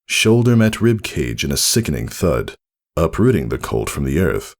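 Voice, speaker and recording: A man narrates fiction in a low, gravelly voice. His storytelling is expressive and scripted, with intonation that moves around a great deal. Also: moderate pace. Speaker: narrator reading fan fiction. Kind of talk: scripted audiobook-style narration.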